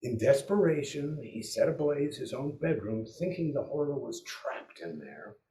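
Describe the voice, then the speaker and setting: An actor playing an exasperated 1940s radio announcer who hosts the show in a theatrical way. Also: male voice, medium pitch, animated, moderate pace, mellow, slight background noise, natural voice. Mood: ominous, grand. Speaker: host. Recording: echoey room with music behind.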